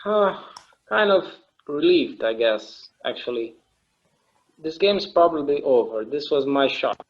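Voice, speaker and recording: A man acting a weary, wistful game obsessive in a casual, halting conversational style at a table read. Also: fairly high pitch, lively intonation, dull audio. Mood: wistful, relieved.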